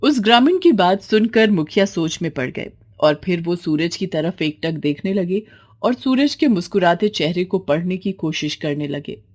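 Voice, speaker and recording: Slightly low-voiced woman storyteller. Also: lively intonation, normal speed, darkish tone. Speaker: narrator. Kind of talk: scripted story narration.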